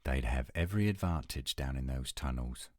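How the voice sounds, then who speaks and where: A man's voice: a bass voice, moderate pace, no room sound, studio quality. A man playing a testy, headstrong werewolf, terse and challenging, in an audio roleplay drama. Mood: incredulous, reluctant. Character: testy, headstrong male werewolf, protective of his pack.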